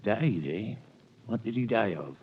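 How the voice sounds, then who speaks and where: An actor playing a wary, gossipy tailor with a probing, exclamatory manner in a 1930s radio drama. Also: male voice, fairly low pitch, lively intonation, a little slow, husky. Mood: wary, surprised. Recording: thin, phone-like old radio recording.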